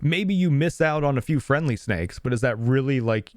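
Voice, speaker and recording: A man's voice: mid-range voice, animated, normal speed, no echo, clean studio sound. A man co-hosting a movie podcast, talking things through in a reflective, hedging way. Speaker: podcast co-host. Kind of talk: casual movie podcast chat.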